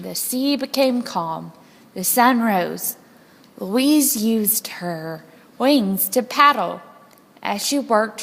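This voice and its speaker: A woman reading a children's story aloud in a dramatic, deliberate storytelling manner. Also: mid-range voice, gravelly, a bit bright. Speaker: storybook reader. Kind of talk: scripted children's story narration, read aloud expressively. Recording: long hall-like reverb.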